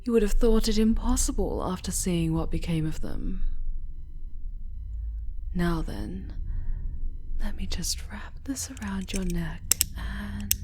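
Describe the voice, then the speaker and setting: A woman playing a seductive, sadistic dark elf noblewoman in an intimate ASMR roleplay, breathy and often whispering, haughty and commanding. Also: medium pitch, lightly acted. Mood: possessive, commanding. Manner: slow, sultry, haughty and commanding. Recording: clearly audible background noise.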